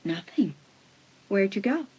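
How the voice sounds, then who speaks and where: A woman playing a taunting, menacing teenage younger sister in a dramatised audiobook, with a breathy, husky voice. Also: mid-range voice, expressive, slow pace, darkish tone, heavily acted. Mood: probing. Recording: slightly dull sound.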